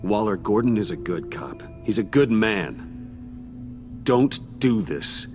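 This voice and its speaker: An actor playing a composed, earnest billionaire vigilante in his thirties in a dramatic video game, speaking in a measured, serious way. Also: on the low side, lively intonation. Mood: earnest, firm. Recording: music behind the voice.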